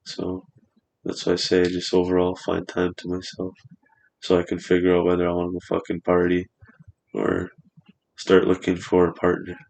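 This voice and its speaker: A man's voice: low voice, completely flat delivery, normal speed, gravelly, darkish tone, rough recording. A man in his twenties chatting casually on a podcast in a studio, rambling and swearing freely. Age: 20s.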